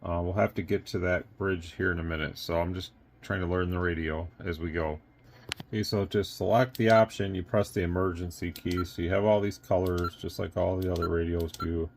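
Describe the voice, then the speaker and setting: A man's voice: sits low, steady tone, fairly quick, vocal fry, a little hiss or hum. A man giving a casual, unscripted product demonstration as a reviewer and seller, rambling and thinking aloud as he goes.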